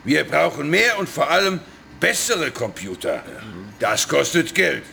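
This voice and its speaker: An actor playing a sinister, short-tempered hacker boss in a children's radio play, domineering and scornful. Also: male voice, fairly high pitch, big pitch swings, measured pace, gravelly, bright, forceful tone, a little hiss or hum, heavily acted. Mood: scheming. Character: finster, bossy male hacker boss, short-tempered schemer.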